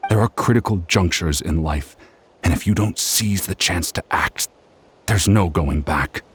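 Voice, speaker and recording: Deep, slow, regretful warrior. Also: male voice, a little hiss or hum. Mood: solemn, regretful. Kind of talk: video game voice acting.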